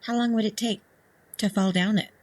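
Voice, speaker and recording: A woman's voice: medium pitch, steady tone, normal speed, natural voice. A woman voicing a naive, curious wife with an innocent questioning manner in a horror story. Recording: faint background noise.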